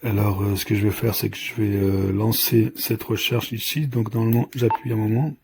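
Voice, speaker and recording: Low, creaky-voiced man demonstrating. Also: flat delivery, normal speed. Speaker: product reviewer. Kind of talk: unscripted step-by-step product demonstration. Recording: clearly noisy recording with clicks.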